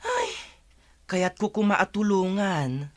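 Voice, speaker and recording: Woman acting a slow-spoken, earnest young male teacher. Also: medium pitch, no room sound, natural voice. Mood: pleading, concerned. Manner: polite, unhurried. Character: kind, earnest young male schoolteacher, concerned suitor. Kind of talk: village radio drama acting.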